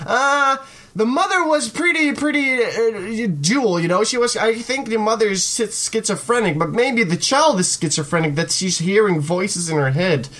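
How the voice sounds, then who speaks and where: Casual let's player, informal and reactive, pitch jumps all over the place. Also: animated, moderate pace, a bit bright. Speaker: host of a gaming channel. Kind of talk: casual let's play commentary with read-aloud narration.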